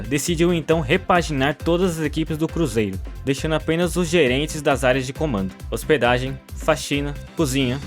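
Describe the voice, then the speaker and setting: A man voicing a formal TV news reporter in an animated film, reading a scripted report. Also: fairly high pitch, close to an everyday voice. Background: faint music.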